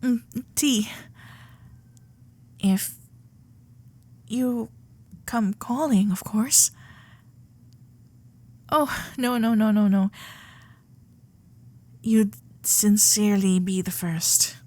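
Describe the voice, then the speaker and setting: A woman voicing a frank, flustered young Gilded Age society lady in a romantic ASMR audio drama, speaking earnestly in formal period phrasing with breathy asides. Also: mid-range voice, extremely slow, pauses often, a little mellow. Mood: shyly smitten.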